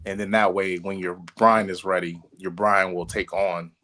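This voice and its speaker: A man's voice: low voice, level tone, pushed and bright. Male podcast co-host, casual and playful, loose profane banter, laughs easily. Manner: playful, profane, quick to crack up.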